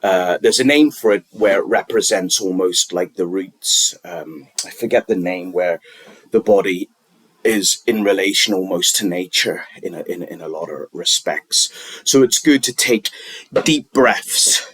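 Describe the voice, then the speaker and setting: A man talking unscripted, giving wellness advice in a halting, rambling way with many restarts and fillers. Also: sits low, fairly bright tone, husky, no echo, poor audio.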